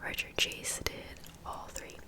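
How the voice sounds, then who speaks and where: A woman hosting an ASMR true crime video in a soft, breathy whisper, chatting casually to viewers as she narrates. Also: takes it slowly. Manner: casual, conversational asides to viewers.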